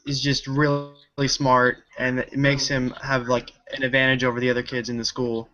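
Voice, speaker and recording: A man's voice: mid-range voice, completely flat delivery, moderate pace, bright, forceful tone. A man reviewing films in a casual group chat, often peppering his speech with filler words. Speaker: film reviewer. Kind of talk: casual group film discussion. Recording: dull lo-fi sound.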